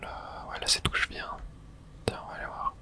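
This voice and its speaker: Someone whispering in a hushed, unvoiced way through an ASMR video game playthrough, reading dialogue aloud. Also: moderate pace.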